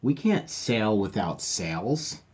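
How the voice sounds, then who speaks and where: A man doing a slow, bored dog. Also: fairly low pitch, slightly rough, full character voice. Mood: deadpan. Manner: flat, slow, deadpan. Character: bored, reluctant male dog.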